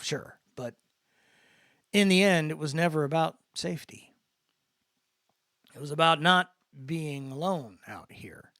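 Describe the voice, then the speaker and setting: A man's voice: fairly high pitch, gravelly, fairly bright tone, dry recording, studio quality. A man voicing a protective, blunt and sardonic big brother in a dramatic audiobook. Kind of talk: dramatic audiobook character dialogue.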